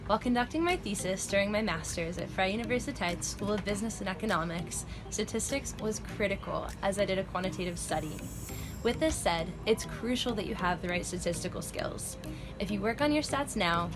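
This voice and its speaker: A young woman delivers a composed, clearly recited testimonial outdoors. Her pitch is middling, and music plays clearly beneath her words. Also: brisk. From Pittsburgh, United States. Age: young adult. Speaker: former student giving a testimonial. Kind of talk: scripted testimonial. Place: outdoors on a lawn. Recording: music playing underneath.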